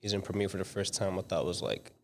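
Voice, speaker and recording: A young man from the Dominican Republic, a guest director talking casually about his path, relaxed and slangy with lots of fillers. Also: deep voice, very fast, vocal fry, slight background noise. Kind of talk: casual interview talk.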